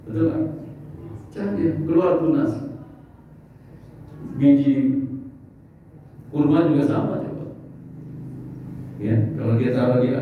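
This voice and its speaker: A man's voice: medium pitch, a little hiss or hum. A man preaching a joking, conversational mosque sermon and asking his listeners questions. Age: 50s. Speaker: Islamic preacher. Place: large mosque hall. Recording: echoey, distant, dull mosque recording.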